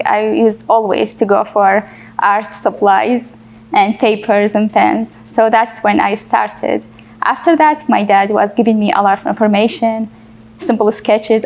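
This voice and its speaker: A woman's voice: mid-range voice, vocal fry, a little mellow. A young woman from Iraq, a painter, answering interview questions in an earnest, plain way.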